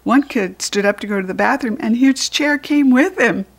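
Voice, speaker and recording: An American woman reading a children's book aloud as a storyteller, expressive and with deliberate emphasis for young listeners. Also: on the high side. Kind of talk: animated children's audiobook narration.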